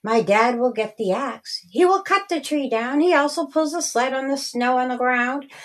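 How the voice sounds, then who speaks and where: A woman narrating a rhyming children's story in a deliberate, singsong storytelling manner. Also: high-pitched, lively intonation. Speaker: author reading own story.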